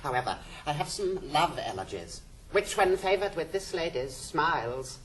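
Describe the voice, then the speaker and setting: An actor playing a vain young fop and would-be poet in a period stage comedy, English, coy and affected. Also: male voice, fairly high pitch, animated, normal speed, fairly bright tone, a little hiss or hum. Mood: preening.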